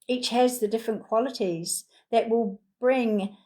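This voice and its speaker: A woman leading a guided healing meditation in a soothing voice, speaking in repeated gentle affirmations. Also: a little high, a little slow.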